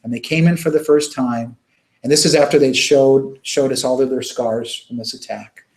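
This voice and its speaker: A man with a mid-range voice talks as a guest in a conversational interview. He tells his stories earnestly and informally, halting now and then as he searches for the next phrase. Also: medium pitch, steady tone, poor audio. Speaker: interview guest, children's music charity worker.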